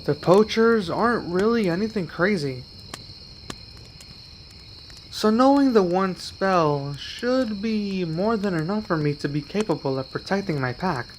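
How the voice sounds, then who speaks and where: A man acting a young, gentle, protective werewolf in an ASMR roleplay, speaking softly and earnestly with halting pauses. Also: high-pitched, lively intonation, slight background noise. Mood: calm, hesitant.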